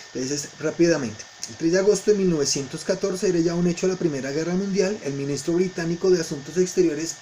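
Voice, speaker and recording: Guy teacher explaining stuff to his students, kinda high voice, bit of noise behind him, keeps checking they follow. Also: a little mellow. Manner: didactic, often checking in with short questions. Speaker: history teacher recording lessons for students. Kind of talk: informal lecture, explaining to students.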